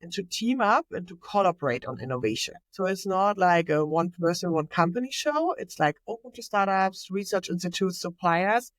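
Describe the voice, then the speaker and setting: A woman's voice: lowish voice, animated, moderate pace, few pauses, no room sound. A woman with a German accent speaking non-native English, guest on an interview podcast, talking eagerly and fluently with small slips. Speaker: podcast guest, innovation manager. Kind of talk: conversational interview.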